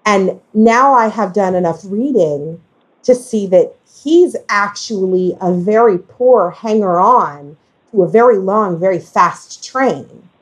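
Woman just chatting, kinda halting, works out her point as she goes, mid pitch. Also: low-quality recording. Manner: halting, thinking aloud, self-correcting. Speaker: podcast discussion guest. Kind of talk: casual conversational discussion.

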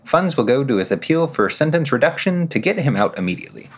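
A man presenting a podcast, reading scripted news items and quotations with emphatic, measured phrasing. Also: medium pitch, sing-song, slightly rough.

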